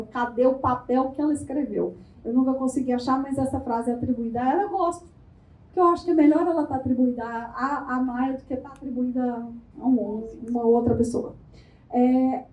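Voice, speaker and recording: A Brazilian woman giving a lively networking workshop, talking playfully and firing quick questions at the room. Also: sits high. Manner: animated, playful, asks the room rapid questions. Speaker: workshop speaker. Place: event room before a live audience. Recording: echoey room.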